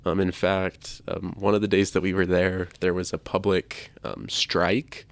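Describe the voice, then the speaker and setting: Male co-host, deep and gravelly, stuffed-up nose, relaxed conversational podcast delivery. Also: unhurried, dry recording. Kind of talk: casual chat.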